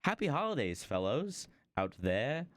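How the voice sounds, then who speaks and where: Chatty man with a lively, swinging voice. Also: measured pace, dry recording. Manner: rambling, self-deprecating, lively swings in pitch. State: hoarse. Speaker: art streamer. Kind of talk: casual stream chat. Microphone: desk microphone.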